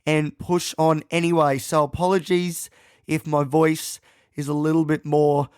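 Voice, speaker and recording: A young Australian man talks through his podcast while fighting a head cold, his voice croaky and nasal. He sits a little high for a man and rambles along with plenty of ums and self-deprecating asides. The sound is dry and close. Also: little pitch movement, measured pace, no room sound. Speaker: podcast host. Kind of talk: casual solo podcast monologue.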